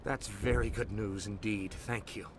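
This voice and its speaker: Low-voiced colonist man amid rumbling noise, acted. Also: steady tone, a little slow, close to an everyday voice. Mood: grateful. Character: grateful male colonist worker. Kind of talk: video game dramatic acting. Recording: clear outdoor rumbling ambience.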